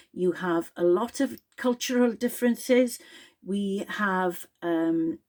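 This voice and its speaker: A middle-aged Welsh woman, Welsh her first language, addresses a group straight to camera in English. She speaks slowly and deliberately, shaping each word clearly, at an ordinary mid pitch. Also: a little mellow. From Wales. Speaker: project leader addressing participants.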